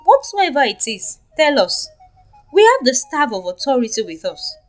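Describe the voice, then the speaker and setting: A woman's voice: high-pitched, very animated, normal speed, faint music behind. A woman voicing a stern, officious male palace guard in a folktale, curt and commanding.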